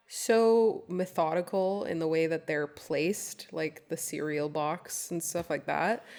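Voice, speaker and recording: A woman's voice: lowish voice, darkish tone. A woman co-hosting a podcast, giving her opinion in a casual film discussion.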